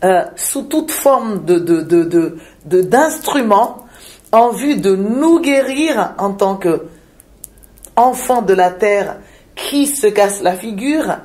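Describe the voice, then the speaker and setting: Woman from Madagascar, unhurried delivery, halting and searching for words, reflective interview guest, mid-pitched voice. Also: animated, darkish tone. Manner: slow, searching, with frequent hesitations and repeated words. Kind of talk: reflective spiritual interview talk.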